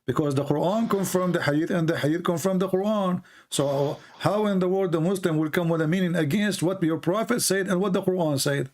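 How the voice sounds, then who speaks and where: A man with an Arabic accent presses his points in a heated online debate, insistent and lecturing. His voice sits a little high for a man. He speaks English as a second language after Arabic. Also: a little fast, hardly any pauses, darkish tone. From Middle East.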